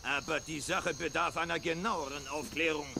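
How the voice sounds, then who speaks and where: An actor playing a fussy, pompous fort general in a children's audio drama, formal and commanding. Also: male voice, on the high side, fairly even intonation, normal speed, vocal fry, background noise. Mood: puzzled, fussy.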